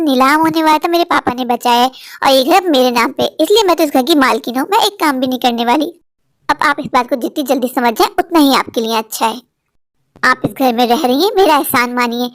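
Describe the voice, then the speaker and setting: An arrogant younger daughter-in-law, as a woman puts her on, who flaunts her status and looks down on her mother-in-law. Her voice is high and showy, and she talks in a smug, haughty way. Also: lightly acted.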